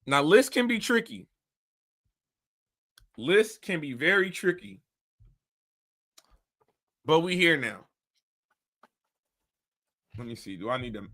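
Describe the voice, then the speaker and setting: Man, high voice, bright tone, blunt, animated casual commentary. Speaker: host.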